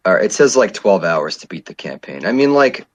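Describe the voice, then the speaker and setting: A man's voice: sits low, very animated, brisk. A man in his twenties co-hosting a podcast, chatting casually with lots of fillers and restarts. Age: 20s. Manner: rambling, many fillers and restarts. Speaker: podcast co-host.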